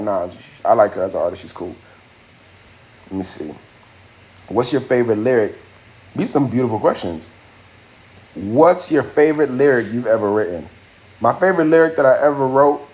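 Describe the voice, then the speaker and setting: A man's voice: mid-range voice, darkish tone. A young man hosting a casual live stream, chatting loosely with viewers in slangy speech and answering their comments. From Haitian family. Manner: raps his verse with a raised, rhythmic delivery. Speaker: livestream host and rapper.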